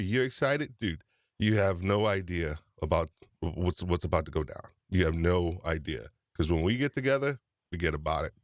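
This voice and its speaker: Man with a New York accent, lower pitch, energetic live-stream host, dry close sound. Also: no echo. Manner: energetic, greets chat viewers by name. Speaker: podcast livestream host. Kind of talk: casual live-stream chat.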